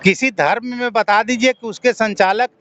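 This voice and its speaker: A man's voice: high voice, steady tone, moderate pace, bright, forceful tone, dull audio. A man with a Bihari accent speaking spontaneously as an interviewee, making his case as an activist with rhetorical questions. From Bihar, India. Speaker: interviewee, social activist and former government officer.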